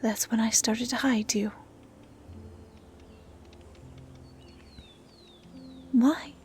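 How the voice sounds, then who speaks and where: A woman playing a gentle dryad who protects a forest, speaking in soft, hushed, halting tones in an intimate roleplay audio drama. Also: a little high, steady tone, slight background noise, natural voice. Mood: soft, earnest.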